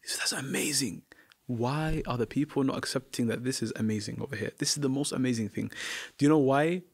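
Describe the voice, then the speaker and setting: A man's voice: mid-range voice, brisk, creaky. A man who teaches the Quran, speaking as a podcast guest in an emphatic, argumentative way full of rhetorical questions. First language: not Arabic. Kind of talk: podcast conversation.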